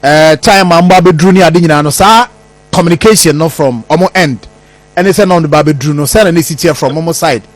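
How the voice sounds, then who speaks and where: A man with a Ghanaian accent interviewing on radio, halting and repeating words as he forms questions. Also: on the high side, no room sound.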